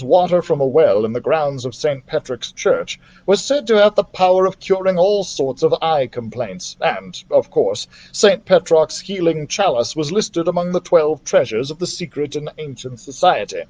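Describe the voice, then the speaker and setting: A man reading a children's adventure novel aloud as a story-time host, with an animated, theatrical delivery. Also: fairly high pitch, normal speed, hardly any pauses, rough recording.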